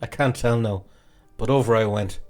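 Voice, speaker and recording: A man reading a classic novel as an audiobook narrator, in an expressive, dramatic storytelling way. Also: fairly low pitch, moderate pace, faint music behind.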